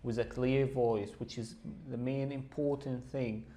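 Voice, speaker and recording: Male teacher, mid-pitched and monotone, calm feedback read. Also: a little slow. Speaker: exam teacher. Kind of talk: spoken feedback on a candidate.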